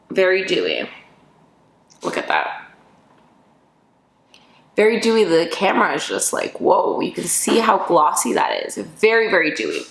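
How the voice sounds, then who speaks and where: A chatty woman talks through a makeup review with bubbly energy, her voice a bit raspy from just waking up. Her pitch sits in an ordinary middle range. The room she records in gives her voice a noticeable echo. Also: fairly bright tone. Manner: bubbly and chatty, full of fillers. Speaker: beauty vlogger. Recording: echoey room.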